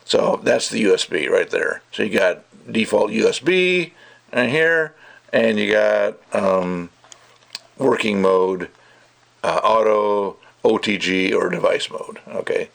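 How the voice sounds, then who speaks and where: Low, creaky-voiced middle-aged man reviewing gear. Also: lively intonation, slow, fairly bright tone. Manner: rambling, thinking aloud. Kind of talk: casual hands-on product review, unscripted.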